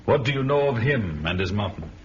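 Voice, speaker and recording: An actor playing a vengeful barbarian warrior, narrating his quest in a grim, measured way. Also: fairly low pitch, animated, slight background noise, natural voice. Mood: wary. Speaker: narrator and hero. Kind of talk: first-person radio drama narration. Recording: dull lo-fi audio with music behind.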